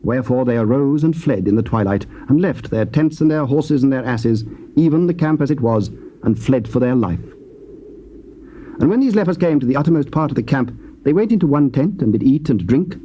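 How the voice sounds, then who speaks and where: A man narrating scripture in a measured, solemn reading. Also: medium pitch, mellow, dull audio, a little hiss or hum.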